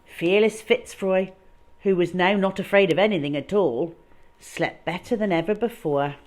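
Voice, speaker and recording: A woman storyteller reading aloud to children in a dramatic, expressive way, leaning on key words. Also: fairly low pitch, normal speed.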